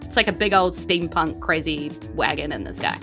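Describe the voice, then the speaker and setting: A woman's voice: sits low, moderate pace, over music. A woman with a New Zealand accent running a tabletop game, improvising narration in a loose, conversational way. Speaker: game master.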